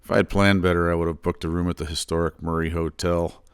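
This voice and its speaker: A man recording a travel vlog voiceover, telling the day's ride in a plain, relaxed way while his voice is a little scratchy. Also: sits low, quick pace, smooth, dry recording, studio quality. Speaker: motorcycle travel vlogger. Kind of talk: voiceover narration.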